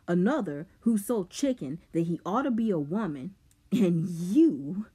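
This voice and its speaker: A woman's voice: on the low side, expressive, unhurried, dark tone, slightly rough. A woman voicing a bitter teenage boy in an audiobook, mocking and goading with heavy emphasis. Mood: scornful taunting. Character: taunting, bitter teenage boy sneering at his rivals.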